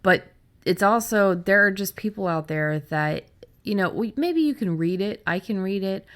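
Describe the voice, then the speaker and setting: A woman's voice: mid-range voice, animated, normal speed, a bit bright. An American woman hosting a podcast in casual conversation, talking informally and feeling her way through her thoughts. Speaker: podcast host.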